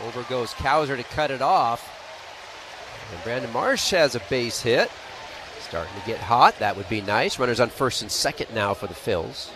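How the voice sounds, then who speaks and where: An American man gives conversational radio play-by-play of a baseball game. His medium-pitched voice swings up and down in a very lively way, over faint background noise. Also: normal speed.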